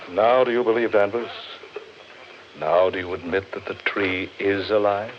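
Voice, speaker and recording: An actor playing a grave, fatalistic man lost in an eerie forest, speaking in a deliberate, theatrical 1940s radio style. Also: on the low side, fairly even intonation, close to an everyday voice. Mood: grimly insistent. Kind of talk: 1940s radio horror acting. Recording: muffled, noisy 1940s radio recording.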